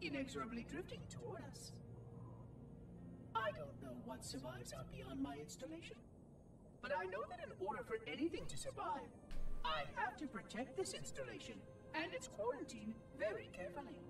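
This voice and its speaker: A woman's voice: extremely high pitch, huge pitch swings, moderate pace, full character voice. A woman playing a lonely, unraveling machine caretaker in a science fiction game, musing to itself in formal, precise phrases. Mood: formal, brooding. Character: lonely, unraveling AI monitor machine guarding an ancient installation. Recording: distant, with music playing behind.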